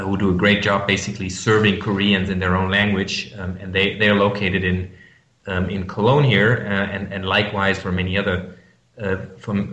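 A man's voice: low-pitched, a bit bright, echoey room. A man from Germany with a German accent, answering interview questions about his company in a hesitant way, full of fillers and restarts. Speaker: company representative guest. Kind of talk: business interview.

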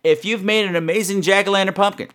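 A man's voice: high voice, fairly even intonation, fast talker, a bit bright. A man hosting a horror podcast, chatting to his listeners in a campy, playful, self-mocking and emphatic way. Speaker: podcast host.

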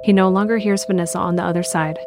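A woman's voice: lowish voice, steady tone, fast, vocal fry, background noise. A woman reading scripted narration for an audio drama. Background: faint music.

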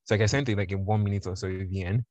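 A young Nigerian man with a fairly low voice, chatting casually on a podcast. He tells his story in an animated way and slips into mock voices for other people. The sound is close and dry, with no room around it. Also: very fast, no echo, dull audio. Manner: animated, acts out voices in quotes. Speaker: podcast guest.

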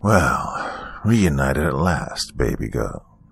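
A man voicing a charming but menacing serial killer in a thriller story, deep-voiced, smooth, taunting and boastful. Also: expressive, measured pace, a bit bright, full character voice. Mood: mock tender.

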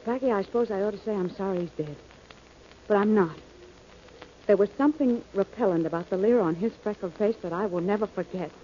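A woman's voice: medium pitch, a little fast, dark tone, close to an everyday voice. An actress playing an anxious, loyal young woman, the detective's sweetheart, in an earnest and dramatic 1940s radio style. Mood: shocked, earnest. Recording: dull, noisy vintage radio recording.

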